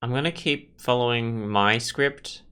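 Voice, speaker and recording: A man's voice: mid-range voice, unhurried, bright, forceful tone, smooth. A man hosting a gameplay video, commenting and reading the game's text aloud. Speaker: gaming video host.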